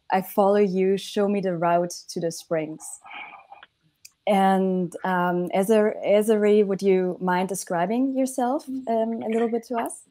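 A woman's voice: medium pitch, mellow. A woman with a German accent moderating a panel over a video call, welcoming and with frequent ums, speaking into an earphone mic from a room at home.

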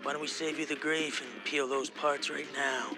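An American actor playing an arrogant, hot-headed young street racer in a video game, sneering and boastful. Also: male voice, on the high side, fairly even intonation, normal speed, over loud music, natural voice. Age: young adult. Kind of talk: video game cutscene acting.

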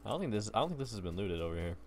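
A man's voice: on the low side, very animated, very fast, a little mellow, a little hiss or hum. A young man from Ecuador streaming a game on a headset mic, joking and laughing often with a friend. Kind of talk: casual gaming chat. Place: indoor room at a computer.

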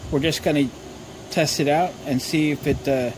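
A man's voice: on the high side, fairly even intonation, moderate pace. A man hosting a casual DIY tool demonstration in an informal, folksy way, thinking aloud as he talks. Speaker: DIY workshop host. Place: driveway and open garage workshop. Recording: clear background noise, outdoor ambience.

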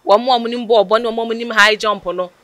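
Lively young Ghanaian woman interviewer. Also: fairly high pitch, brisk, dry recording. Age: young adult. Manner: lively, laughs, many short backchannels. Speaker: interviewer host. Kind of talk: casual interview in Twi mixed with English. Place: outdoor porch by brick wall. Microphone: headset mic.

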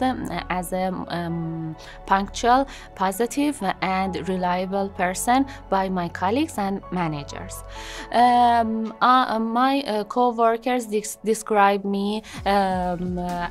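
A woman in her thirties from Iran, a native Persian speaker, introducing herself to camera in a studio, with many hesitant fillers. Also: mid-range voice. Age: 30s. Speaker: job seeker introducing herself. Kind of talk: unscripted self-introduction to camera. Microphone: seated, medium shot.

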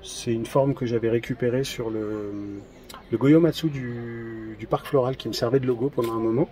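A man in his thirties presenting trophies outdoors in a garden, in a relaxed, hesitant casual chat with many fillers. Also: medium pitch, moderate pace. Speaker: event organizer presenting trophies.

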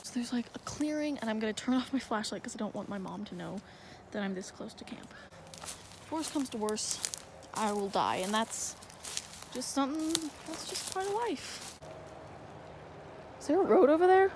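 A woman's voice: fairly high pitch, sing-song, moderate pace, long pauses, darkish tone. A young woman vlogging on a night walk through a marshy wood, talking hesitantly with many fillers into a handheld phone. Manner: rambling, hesitant, lots of fillers. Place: outdoors in a dark wooded marsh at night. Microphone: phone held in hand. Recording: noisy outdoor phone recording.

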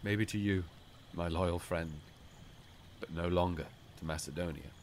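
A man voicing a noble, weary warrior king in an audiobook, speaking in a formal, grave and measured way. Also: deep voice, fairly even intonation, a little mellow, a little hiss or hum. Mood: solemn, resigned. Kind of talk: audiobook character dialogue.

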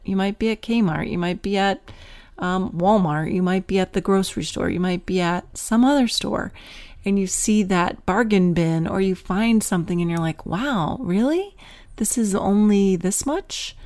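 A woman's voice: medium pitch, a little mellow. A woman in her forties hosting a podcast, talking in a chatty, upbeat way and laughing often. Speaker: podcast host. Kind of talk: casual podcast chat.

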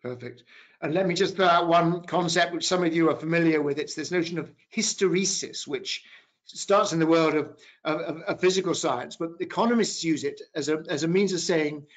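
A man's voice: fairly high pitch, fairly quick. A man in his fifties moderating a business panel discussion over a video call. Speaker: webinar moderator, business professor. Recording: dull video-call audio.

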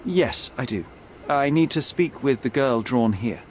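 An actor playing a young detective in a game, articulate, precise and self-assured. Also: male voice, mid-range voice, animated, unhurried, a little hiss or hum, natural voice. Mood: earnest. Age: young adult. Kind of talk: dramatic game cutscene dialogue. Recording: faint night ambience behind.

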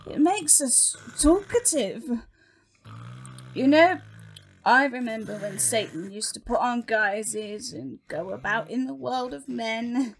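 A woman's voice: fairly high pitch, expressive, slow pace. A woman playing a chatty, sycophantic demon servant in an audio comedy drama, speaking with eager deference. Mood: nostalgic, tipsy. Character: Crabby, tipsy sycophantic demon minion of Satan.

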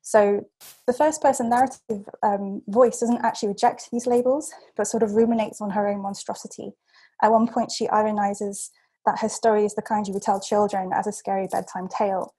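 Soft-voiced woman reading a paper. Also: mid-range voice, level tone, husky, rough recording. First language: English. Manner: measured, with frequent ums and self-corrections. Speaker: seminar speaker.

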